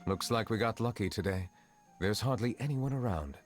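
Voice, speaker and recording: A man acts a solemn, courteous judge in a fantasy game. His voice is very low, delivered with formal composure and measured dignity, with music playing behind. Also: animated, close to an everyday voice. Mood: composed. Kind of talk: dramatic video game dialogue.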